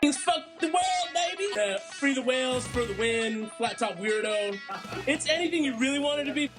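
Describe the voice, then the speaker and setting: A man's voice: very high voice, lively intonation, moderate pace. A man joking crudely and clownishly in a reality show interview as a tattoo artist. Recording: guitar music behind the voice. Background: guitar music.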